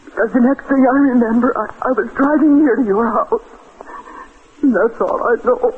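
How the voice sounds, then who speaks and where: An actress playing a troubled, guarded young woman in an old-time radio murder melodrama, with halting delivery. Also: fairly high pitch, slightly rough. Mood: shaken. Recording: thin, phone-like old radio recording, faint noise.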